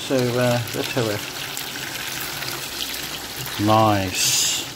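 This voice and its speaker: A man casually narrating his home cooking, relaxed and chatty with frequent ums, filmed on a phone over the stove. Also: medium pitch, extremely slow. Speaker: home cook vlogger. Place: home kitchen. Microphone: phone camera held over the stove. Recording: noisy home kitchen phone recording. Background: sizzling and bubbling pots.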